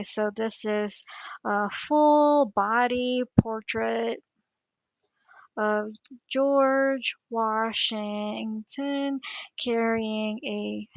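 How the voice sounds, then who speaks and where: Gravelly Filipino-accented woman teaching. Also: on the high side, fairly bright tone, dry recording, low-quality recording. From Philippines. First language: Tagalog. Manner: chatty, explains step by step while clicking. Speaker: teacher coach. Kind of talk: screen-recorded tutorial.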